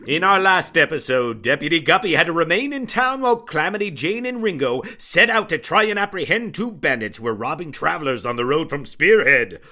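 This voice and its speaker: A man's voice: fairly high pitch, very animated, brisk, hardly any pauses, bright, forceful tone, no echo. A man narrating like a bombastic old-time radio western announcer, theatrical and dramatic.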